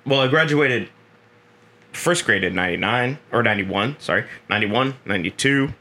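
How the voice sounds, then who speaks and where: A man's voice: mid-range voice, expressive, moderate pace, bright, forceful tone. A man of forty co-hosting a podcast in casual chat, with a joking, profane manner. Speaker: podcast co-host. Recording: faint background noise.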